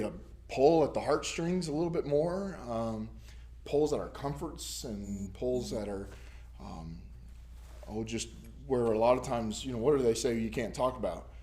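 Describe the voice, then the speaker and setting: A man's voice: medium pitch, darkish tone. A man with a somewhat scratchy voice teaches a small church Bible study in front of a camera. He talks loosely and conversationally, often circling back with ums and restarts before landing his point. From United States. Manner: rambling, many ums and restarts. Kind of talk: casual teaching to a small group. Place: church classroom. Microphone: camera recording.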